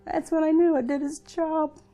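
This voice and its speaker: Older american lady being interviewed, soft mellow tone, plainspoken, halting and reflective, faint music behind her. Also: sits high, fairly even intonation. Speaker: interviewee, veteran's wife. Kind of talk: personal recollection in an interview. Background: music under the voice.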